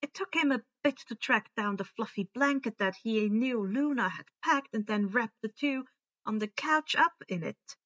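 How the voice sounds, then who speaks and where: A woman reading a story aloud in expressive audiobook-style narration. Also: a little high, normal speed, lo-fi sound. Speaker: story reader.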